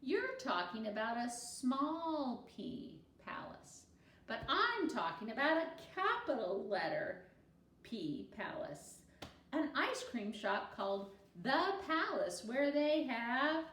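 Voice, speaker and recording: A woman's voice: high-pitched, very animated, slow pace, fairly bright tone, distant mic, heavily acted. A woman voicing a confident, theatrical little schoolgirl who tells stories with bossy, exasperated emphasis. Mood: impatient, emphatic. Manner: theatrical, emphatic, self-assured. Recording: roomy echo, faint background noise.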